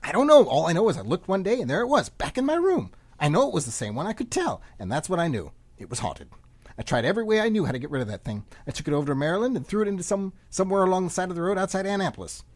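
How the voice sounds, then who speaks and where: A man acting in a comic radio play as a frantic, rambling middle-aged man under arrest, excitable and often interrupting himself. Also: high-pitched, racing. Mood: desperate, pleading.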